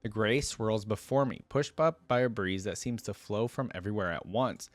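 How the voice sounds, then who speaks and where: A man's voice: on the low side, moderate pace, no room sound. A man reading a story aloud as a reaction host, with informal, slangy asides, recorded close on a microphone.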